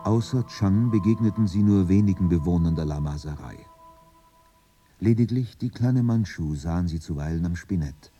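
A man narrating a German radio drama in a calm, literary storytelling manner, with a husky voice. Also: low-pitched.

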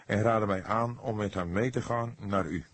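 A man's voice: fairly low pitch, moderate pace, husky, no echo, voice pushed up. A man voicing a troubled young murder suspect in an audiobook, earnest, emotional and on edge. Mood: troubled, pleading.

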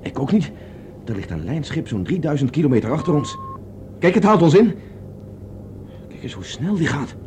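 An actor playing a self-assured, impatient space pilot in a Dutch radio drama, brisk and commanding as he explains things. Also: on the high side, very animated, mellow, a little hiss or hum. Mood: urgent, alarmed.

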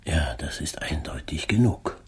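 An actor playing a detective's agreeable gentlemanly companion in a German radio drama, with a husky voice and a measured, courteous manner. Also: male voice, extremely low pitch, animated, whispered, exaggerated voice.

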